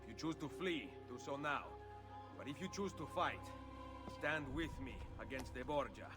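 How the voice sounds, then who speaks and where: An actor voicing a resolute, commanding Italian assassin leader in a video game, with an Italian accent. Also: male voice, medium pitch, expressive, moderate pace, music in the background, close to an everyday voice. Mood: rousing. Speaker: lead character in a game cutscene. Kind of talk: dramatic game voice acting.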